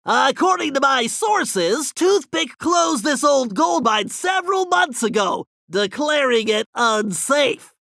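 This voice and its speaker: A man voicing a nerdy, brainy and anxious turtle strategist in an animated video game, with a nasal voice and precise, earnest, wordy delivery. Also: very high voice, takes it slowly, vocal fry, few pauses, dry recording, studio quality, full character voice. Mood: explaining, planning.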